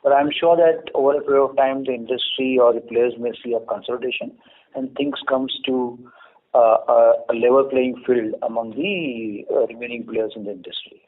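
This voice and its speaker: A man speaking as a company executive on a business call, explaining in a halting manner. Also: medium pitch, fairly even intonation. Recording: narrow muffled phone conference line.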